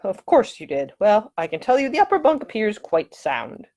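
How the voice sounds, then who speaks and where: A woman's voice: medium pitch, sing-song, moderate pace, darkish tone, voice pushed up, lightly acted. A woman voicing a big, roguish male romantic hero with a wry, teasing manner, in an audiobook romance. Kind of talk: acted dialogue in an audiobook romance.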